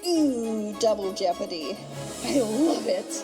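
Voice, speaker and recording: A woman's voice: medium pitch, expressive, takes it slowly. A woman voicing a gleefully sadistic genie villainess in an audio drama, with a theatrical, singsong, taunting manner. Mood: mocking, sadistic. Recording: music under the voice. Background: music.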